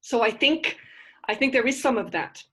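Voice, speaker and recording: A middle-aged woman teaching a university literature class from home, speaking through a headset on a video call, animated and often asking "right?". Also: a little high, normal speed, husky. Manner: animated, gestures while explaining, asks "right?" often. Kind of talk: online class discussion. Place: home room with bookshelves.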